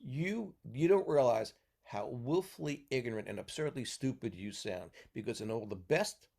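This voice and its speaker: A man's voice: medium pitch, lively intonation, moderate pace, creaky, mellow. A man from the US Northeast talking as a mentor in a casual podcast chat, explaining at length and checking in with "okay?" after his points. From New York, US Northeast. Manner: lecturing, tags points with "okay?".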